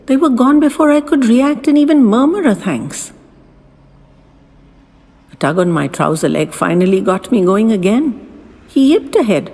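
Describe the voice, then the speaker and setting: A woman reading sentimental short stories as a storyteller, in a soft, expressive, dramatic voice with lingering pauses. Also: medium pitch, husky. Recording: long hall reverb, music behind.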